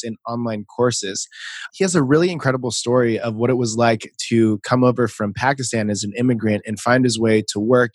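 A man's voice: mid-range voice, dry recording. A man hosting a casual podcast interview over a video call, upbeat and chatty, laughing easily. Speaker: podcast host.

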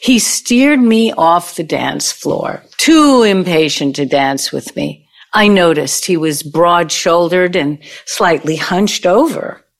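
A woman's voice: fairly low pitch, lively intonation, vocal fry, full character voice. A woman in an audio play acting a vengeful, older ex-girlfriend who needles and tells her story theatrically. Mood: dramatic storytelling. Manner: deliberate, taunting, storytelling delivery.

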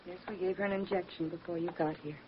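An actress playing a businesslike, brisk hospital nurse in an old radio drama. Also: female voice, fairly low pitch, fairly even intonation, close to an everyday voice. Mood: brisk, firm. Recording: muffled, noisy old radio broadcast.

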